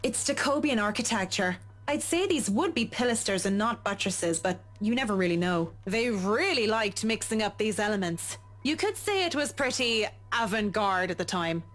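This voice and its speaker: A woman's voice: medium pitch, expressive, normal speed, fairly bright tone, lightly acted. A woman voicing a young woman architect who explains things plainly and tactfully. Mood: explaining. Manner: explanatory, matter-of-fact.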